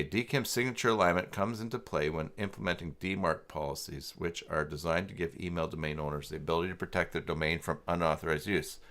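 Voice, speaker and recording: Male tutorial streamer, deep register, informal and halting, talks to himself and reads off the screen, often trailing off. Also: fairly bright tone. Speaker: livestream host working through website email setup. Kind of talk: casual livestream tutorial, thinking aloud and reading from screen.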